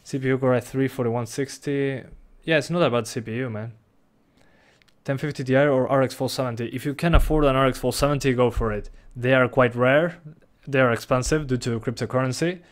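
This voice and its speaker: A man's voice: medium pitch, brisk. A young man from Uruguay with a Rioplatense Spanish accent, a native Spanish speaker casually reading out and answering chat questions on a live stream with frequent chuckles. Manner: relaxed, reads questions aloud, chuckles often.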